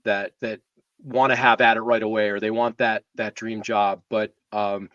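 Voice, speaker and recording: A man with an American accent, co-hosting and casually interviewing a guest in a halting way, repeating words. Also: on the low side, little pitch movement, a bit bright, dry recording. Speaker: show co-host interviewing a guest. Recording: muffled, somewhat distant microphone.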